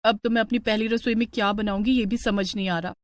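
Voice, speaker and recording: A woman's voice: mid-range voice, fairly even intonation, fast, no room sound, natural voice. A woman voicing a young daughter-in-law in a story, polite, plaintive and bewildered. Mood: exasperated.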